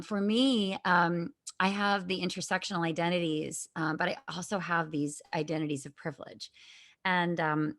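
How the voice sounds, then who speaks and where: A woman's voice: on the low side, a bit bright, dry recording, studio quality. A woman speaking as a guest in a conversational interview, thoughtful and earnest, with frequent fillers, heard over a video call.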